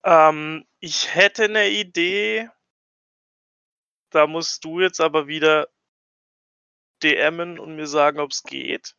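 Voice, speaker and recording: Bright, clear, higher-pitched man playing tabletop. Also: steady tone, slow pace, long pauses, dry recording, muffled recording. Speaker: tabletop role-playing player. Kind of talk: casual game-table chat.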